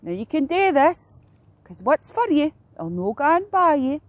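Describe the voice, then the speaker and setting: A woman voicing a no-nonsense mother heron in a children's story, briskly instructing in a Scottish accent. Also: high voice, sing-song, no room sound, pitched above the performer's own voice. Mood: brisk, firm.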